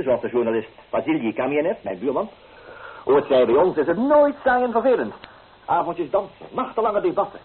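Husky, lively young city student. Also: male voice, fairly high pitch, exaggerated voice. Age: young adult. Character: exuberant young city student, worldly and teasing. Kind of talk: Dutch radio drama acting. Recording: muffled, tinny old radio recording.

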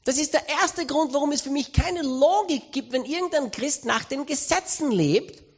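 A man with an Austrian accent preaching a sermon, telling a story with humor and lively emphasis. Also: extremely high pitch, moderate pace. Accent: Austrian German. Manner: animated, humorous anecdotal delivery.

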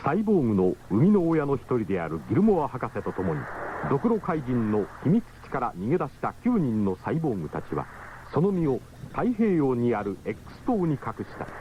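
A man's voice: mid-range voice, noisy background. A man narrating a 1970s science-fiction radio drama in a grave, declamatory manner. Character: solemn storyteller of a heroic sci-fi tale. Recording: dull 1979 radio recording. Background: dramatic music.

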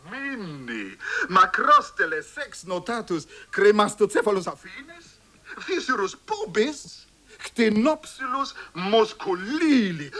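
Fervent, sharp, swooping reciter. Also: female voice, medium pitch. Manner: declamatory, exaggerated stresses, savoring each syllable. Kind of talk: experimental music theatre, rhythmic recitation.

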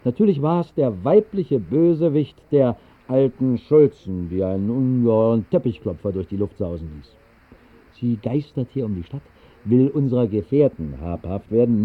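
A man's voice: medium pitch, lively intonation, normal speed, natural voice. An actor narrating a 1960s radio drama as a whimsical bohemian drinker, telling his tale in a wry, literary way. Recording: tinny band-limited 1960s radio recording.

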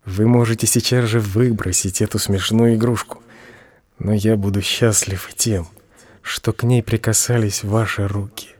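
An actor playing a humble, hopelessly devoted poor admirer in an audio drama, speaking a formal letter with reverence. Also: male voice, lowish voice, steady tone, moderate pace, darkish tone, natural voice. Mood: tender, earnest.

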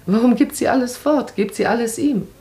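An actress playing a self-assured, perceptive baroness in a radio play, speaking decisively, eloquently and with emphasis. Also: female voice, fairly high pitch, fairly quick, mellow, natural voice. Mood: insistent, impassioned.

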